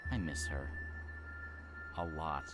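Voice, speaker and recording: A man's voice: very deep voice, slow, fairly bright tone, faint music behind, exaggerated voice. A man voicing a wisecracking talking crow in a video game, a cheeky but loyal sidekick with a sarcastic, chatty, theatrical way of talking. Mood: wistful. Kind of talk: video game character acting.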